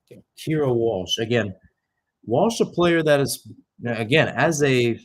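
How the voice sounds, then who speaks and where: A man's voice: medium pitch, lively intonation, measured pace. A young man giving live radio-style soccer play-by-play, drifting into conversational, rambling asides and correcting himself as he goes. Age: young adult. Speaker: play-by-play commentator.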